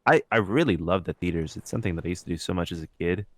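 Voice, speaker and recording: A man's voice: sits low, rapid-fire, no echo. A man co-hosting a podcast, talking in a casual, conversational way. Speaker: podcast co-host. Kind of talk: casual chat.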